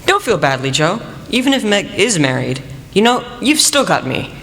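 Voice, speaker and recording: A young man playing an earnest, devoted young suitor in a radio play, sometimes halting in his delivery. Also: high voice, very animated, pushed and bright, heavily acted. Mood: gentle, devoted. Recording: reverberant hall.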